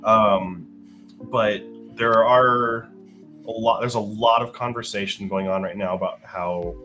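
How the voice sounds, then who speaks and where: A man's voice: on the low side, fairly even intonation, unhurried, sharp tone, faint music behind. A young man with a congested, sinus-clogged voice, casually chatting movie news as a podcast co-host with lots of fillers and restarts. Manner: rambling, many fillers and restarts.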